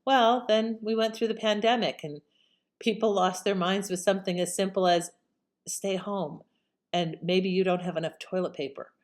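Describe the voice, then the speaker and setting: A woman's voice: mid-range voice, fairly even intonation, moderate pace. A middle-aged or older woman giving casual, reflective commentary as a presenter.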